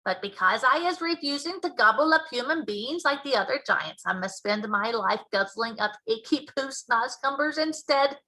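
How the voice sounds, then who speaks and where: Woman voicing an emphatic, high-pitched old friendly giant. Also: expressive, sharp tone, poor audio. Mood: disgusted. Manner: emphatic, drawn-out, mangled grammar and made-up words. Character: old friendly male giant, grand, indignant, muddled speech. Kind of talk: children's storybook read-aloud.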